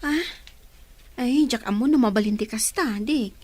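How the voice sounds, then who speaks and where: A woman playing a fretful, confiding household companion in a radio drama, with an expressive delivery. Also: fairly high pitch, moderate pace, dry recording, natural voice. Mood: worried, confiding.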